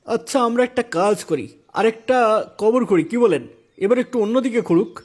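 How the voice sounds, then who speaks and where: A man's voice: high-pitched, lively intonation, quick pace, a little mellow. A man playing an anxious, pious village man in a moral cartoon story, given to worried exclamations. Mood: cautious.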